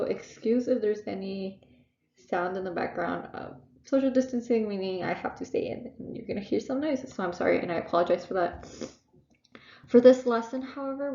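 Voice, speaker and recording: A young woman with a Texan accent teaches a reading lesson from home, a little congested and full of ums and restarts. Her voice carries a gravelly edge, and the recording is echoey and dull. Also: medium pitch, dark tone. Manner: casual, halting, many fillers and restarts. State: congested, sniffling. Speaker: tutor.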